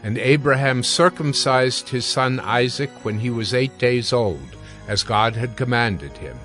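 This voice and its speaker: A man with a mid-pitched voice reads scripture as a narrator. His delivery is formal and measured, with background music underneath. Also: animated, fairly bright tone.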